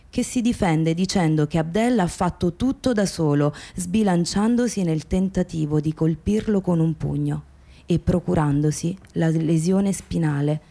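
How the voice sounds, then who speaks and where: A woman narrating a scripted radio theatre documentary in a grave, deliberate manner. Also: low voice, gravelly, mellow.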